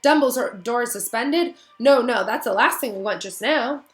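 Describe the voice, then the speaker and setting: A woman's voice: fairly high pitch, lively intonation, a little slow, voice pushed up. A woman voicing a nervous, fidgeting middle-aged man, an official who sounds anxious and flustered. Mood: alarmed. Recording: echoey room.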